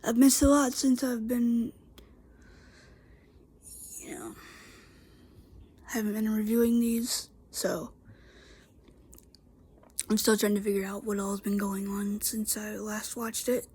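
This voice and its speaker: A woman's voice: mid-range voice, level tone, takes it slowly, pauses often, warm tone, breathy edge. A woman vlogger with a congested voice, recapping a wrestling show in a chatty, rambling way with many fillers and restarts. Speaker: YouTube wrestling reviewer. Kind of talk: casual solo show recap.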